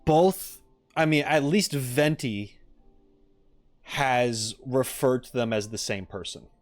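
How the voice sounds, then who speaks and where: A man's voice: medium pitch, slow, soft background music. A man streaming in a casual chat style, hesitant as he thinks aloud.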